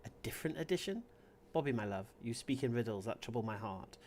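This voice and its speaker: Casting: proud expectant wife for a story game, protective and easily wounded, solemn and formal, fairly high male register man acts her out. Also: a little fast, lightly acted. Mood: solemn, hurt. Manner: earnest, formal, poetic speech.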